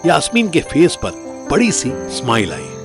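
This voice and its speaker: Lively male narrator of an audio drama. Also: a little high, a little slow, over music. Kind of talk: dramatic scripted story narration.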